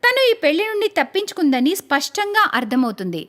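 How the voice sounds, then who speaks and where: A woman narrating a cartoon moral story in a lively storytelling manner. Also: high-pitched, fast talker.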